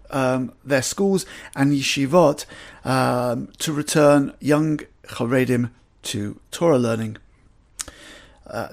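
English-accented man with a gravelly voice. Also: fairly high pitch, a little mellow. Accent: slight English. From England. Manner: conversational, with filler ums and asides. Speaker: podcast host. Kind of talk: weekly news roundup podcast. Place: Jerusalem.

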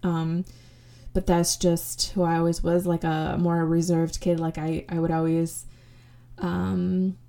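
Soft-toned woman sharing her life story. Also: fairly low pitch, monotone, slow pace, gravelly. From United States. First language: Spanish. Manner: reflective, many fillers and restarts. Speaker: podcast host. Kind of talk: casual personal storytelling.

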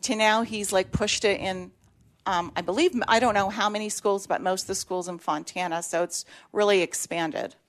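A woman's voice: medium pitch, steady tone, normal speed. A woman school principal, whose first language is Greek, answering questions earnestly in a radio interview, with many fillers.

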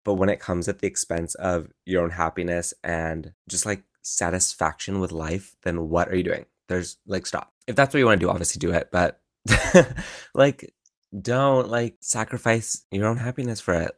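A young man hosting a casual solo podcast from his room, rambling and encouraging, with lots of filler words and a croaky morning voice. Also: sits low, normal speed, dry recording, clean studio sound. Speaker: podcast host. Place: his own room at home.

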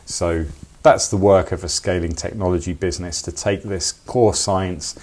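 A man's voice: deep voice, fairly even intonation, moderate pace, darkish tone. A man co-hosting a podcast, talking in an upbeat, enthusiastic way. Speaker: podcast co-host. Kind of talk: casual podcast chat.

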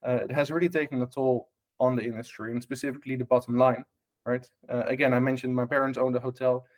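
Dutch-accented man, halting interview guest. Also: medium pitch, little pitch movement, darkish tone. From Netherlands. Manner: many fillers and restarts, tag questions. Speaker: guest, hospitality tech lead. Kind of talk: casual podcast interview.

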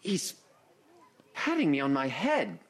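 Radio drama client: an intense, wistful, nervous grown man, rough-edged, wildly animated delivery. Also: medium pitch, takes it slowly, heavily acted. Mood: awed, wistful.

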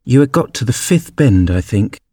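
A man's voice: medium pitch, very animated, normal speed, warm tone, no echo, studio quality. A man voicing a polite, earnest little girl in a storybook, eager and humble in manner.

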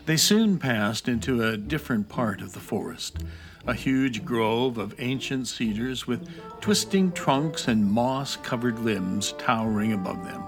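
A man's voice: medium pitch, expressive, measured pace, gravelly, faint music behind. A man narrating a scripted children's fantasy adventure story in storybook style.

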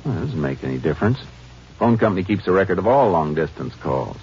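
An actor playing a calm, steady Texas Ranger in an old radio drama, speaking in a level, firm and probing way. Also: male voice, low-pitched, quick pace. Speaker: lead and narrator of the drama. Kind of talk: 1950s radio drama acting. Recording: muffled old radio broadcast with hiss.

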